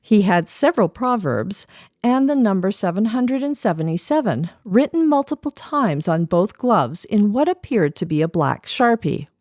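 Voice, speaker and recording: A woman's voice: mid-range voice, lively intonation, moderate pace, darkish tone, no echo, studio quality. A middle-aged woman presenting a scripted podcast segment, narrating with wry asides.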